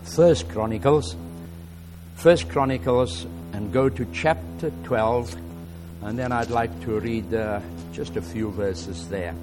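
A man with an Afrikaans first language and a South African accent, preaching a relaxed, halting sermon with many fillers and reading scripture aloud more loudly. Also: medium pitch, over music, poor audio. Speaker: guest preacher.

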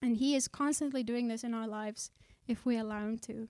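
A woman from South Africa preaches with earnest conviction. Her voice sits fairly high, and she slows down to read scripture. The sound is close and dry, with little room echo. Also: fairly even intonation, darkish tone, clean tone. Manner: conversational, with fillers and restarts. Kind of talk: sermon.

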